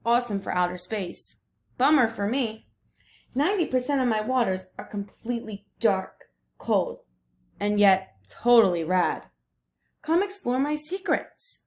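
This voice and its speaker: A woman's voice: on the high side, expressive, unhurried, a little mellow. A woman voicing the ocean as a laid-back, boastful surfer dude in a picture book read aloud. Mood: enthusiastic. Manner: slangy, breezy surfer talk.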